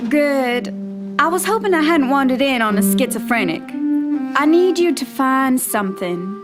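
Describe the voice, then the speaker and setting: An actress playing a cool, impatient femme fatale in a noir radio play parody, with a dry, dramatic delivery. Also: female voice, sits high, lively intonation, moderate pace. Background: music behind the voice.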